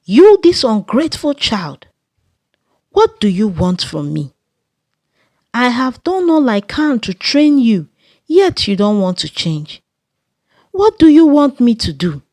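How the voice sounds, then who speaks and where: A woman's voice: a little high, big pitch swings, takes it slowly, long pauses, darkish tone, dry recording, clean studio sound. A woman voicing a dramatic middle-aged village mother who scolds and wails at her daughter in a folktale. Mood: furious scolding. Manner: dramatic, accusing, wailing.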